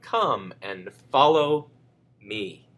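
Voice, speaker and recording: A man voicing a sly, polite fox who sweet-talks in a children's storybook, his voice husky. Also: mid-range voice, expressive, a little slow, fairly bright tone. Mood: sweet-talking.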